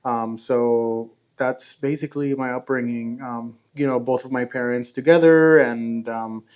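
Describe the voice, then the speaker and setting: Flat-voiced easygoing man from Mexico. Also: medium pitch, measured pace, muffled recording. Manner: easygoing, steady, with frequent "um" and light laughs. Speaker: podcast guest, snack brand founder. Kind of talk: casual interview answers.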